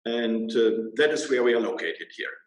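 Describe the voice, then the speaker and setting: German-accented man, 60s, measured hesitant guest, roomy video-call sound. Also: mid-range voice, expressive. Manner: measured, with frequent ums and uhs. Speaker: guest, water brand owner. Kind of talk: casual interview answers. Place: bright room indoors. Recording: roomy echo.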